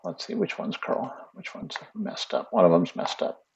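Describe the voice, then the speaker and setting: A man teaching animation in a casual screen-share tutorial, thinking aloud in a relaxed way. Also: fairly high pitch, normal speed, creaky, slight background noise. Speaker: animation instructor. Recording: muffled lo-fi audio with faint music.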